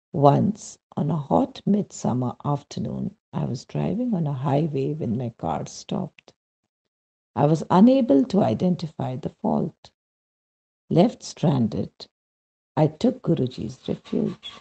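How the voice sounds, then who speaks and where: Male narrator for devotional readings: very raspy and creaky, lively pitch movement, measured scripted delivery. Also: highs cut off. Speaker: reader of a devotional testimonial. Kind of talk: scripted narration, read-aloud spiritual testimonial.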